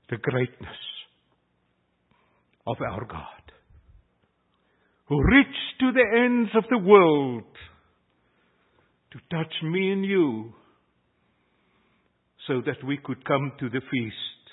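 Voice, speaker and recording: A man's voice: medium pitch, sing-song, extremely slow, long pauses, slightly rough, narrow, tinny audio. An older South African man whose first language is Afrikaans, a pastor preaching an earnest, emphatic sermon that rises on key phrases. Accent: South African English.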